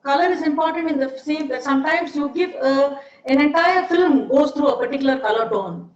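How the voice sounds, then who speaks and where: A woman's voice: high voice, brisk. A middle-aged woman with an Indian accent explaining things in an informal online lecture, often posing questions to her listeners. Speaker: seminar speaker, ad film maker. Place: indoors, online seminar. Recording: dull, echoey online call with hiss.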